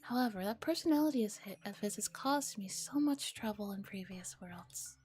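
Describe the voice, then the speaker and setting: Soft mellow girl streamer doing asmr game reading, chill and chatty, bit of music behind. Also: medium pitch, animated, moderate pace, slightly rough. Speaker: streamer hosting a visual novel ASMR stream. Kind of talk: soft ASMR reading with casual chat and commentary.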